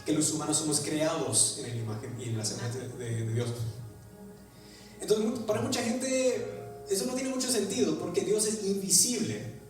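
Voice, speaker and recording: A man whose first language is English, preaching an animated sermon in a church, with an English-speaking accent and frequent restarts. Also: high voice, moderate pace. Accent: English-speaking accent in Spanish. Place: church sanctuary. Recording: echoey church hall, distant mic, music behind.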